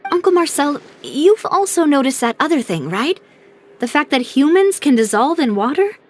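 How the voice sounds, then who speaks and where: A woman playing a poised, resolute young investigator in a video game, speaking in a deliberate, thoughtful way. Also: high-pitched, sing-song, slight background noise. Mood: serious, confiding. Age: young adult.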